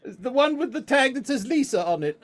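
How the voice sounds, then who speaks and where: A man playing an excitable, dithering bystander in a live radio drama parody, hesitant and repeating himself. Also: very high-pitched, a little fast, heavily acted. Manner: hesitant, repetitive, ad-libbing. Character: excitable, dithering neighbor butting into detective scene.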